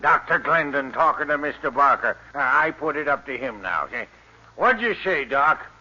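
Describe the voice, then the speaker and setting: An actor playing a cocky, mocking country skeptic with a rural American accent in a 1950s radio drama. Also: male voice, medium pitch, lively intonation, normal speed, sharp tone. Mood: eager, badgering. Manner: jeering, badgering. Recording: muffled old radio recording.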